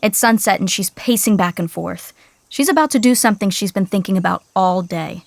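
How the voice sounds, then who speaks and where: A woman's voice: medium pitch, fairly quick, creaky, no room sound. A woman narrating a scripted sitcom in the present tense.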